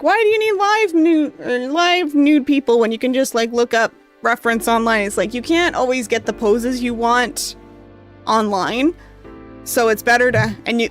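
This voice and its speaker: Girl streamer reading a game out loud, kinda high voice, getting hoarse, lots of "like" and "um", quiet music behind. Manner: casual, rambling, heavy on fillers. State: losing her voice, coughing. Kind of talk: reading a visual novel aloud and chatting with viewers.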